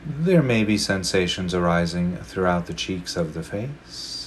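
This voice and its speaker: A man leading a guided meditation, speaking slowly and gently with long pauses between phrases. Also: deep voice, expressive, slight background noise. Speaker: meditation teacher.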